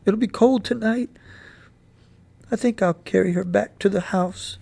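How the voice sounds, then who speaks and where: A man reading a story aloud, voicing a grieving, stubborn young boy with a choked, pleading delivery pushed up high. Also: measured pace, vocal fry, warm tone, full character voice.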